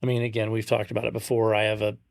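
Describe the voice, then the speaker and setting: A man's voice: on the low side, completely flat delivery, rapid-fire, creaky, no echo, studio quality. A middle-aged man chatting casually as a podcast co-host, often repeating and restarting his phrases. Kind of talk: casual chat.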